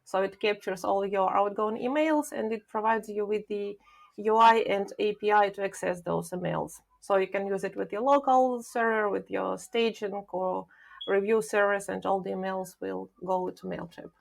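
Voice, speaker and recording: A woman speaks as a guest on a podcast, explaining her work in a measured, thoughtful way. Her voice carries a noticeable vocal fry, and she often pauses with filler sounds while searching for words. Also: mid-range voice, fairly even intonation. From Ukraine. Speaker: guest, product manager. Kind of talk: podcast interview.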